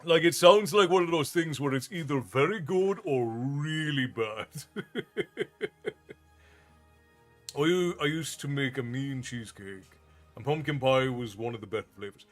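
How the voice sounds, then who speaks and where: A man's voice: medium pitch, normal speed, faint music behind. A man in his thirties from Ireland with an Irish accent mixed with American, chatting casually with viewers as a streamer and thanking them often.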